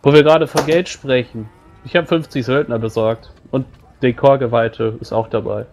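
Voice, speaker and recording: Male role-player, average pitch, casual in-character talk, blunt and colloquial. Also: steady tone, normal speed, a little mellow, a little hiss or hum. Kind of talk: casual in-character tabletop roleplay.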